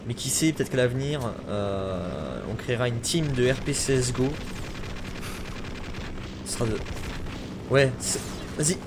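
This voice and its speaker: A man chatting and joking as he streams a shooter game, with sudden shouts breaking through. His voice sits at a middling pitch. Gunfire and game noise rattle clearly behind him. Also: lively intonation, a little slow, long pauses. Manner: chatty, jokey, shouts in bursts. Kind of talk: casual gaming chat. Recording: noisy game audio with gunfire.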